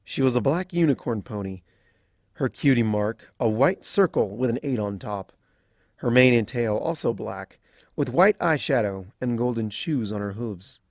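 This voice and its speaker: A man's voice: medium pitch, animated, normal speed, darkish tone, no room sound, studio quality. A man giving a scripted narration of a story as a fan fiction reader.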